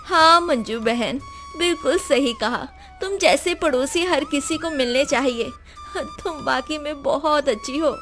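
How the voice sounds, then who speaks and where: A humble neighbour woman in a cartoon moral story, voiced by a female narrator. She speaks effusively in a high voice. Music plays behind her. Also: unhurried, fairly bright tone. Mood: grateful. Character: Vimala, grateful, needy neighbour woman.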